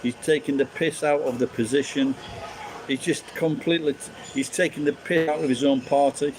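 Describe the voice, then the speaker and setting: A man's voice: mid-range voice, darkish tone, slight background noise, low-quality recording. Sweary ranting Sheffield man. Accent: Sheffield English. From England. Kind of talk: casual street chat.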